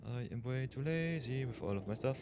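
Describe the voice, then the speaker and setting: A man's voice: medium pitch, expressive, brisk, very noisy. A man from Austria, streaming a game live, muttering his plans to himself and chatting with viewers. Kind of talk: casual live-stream commentary.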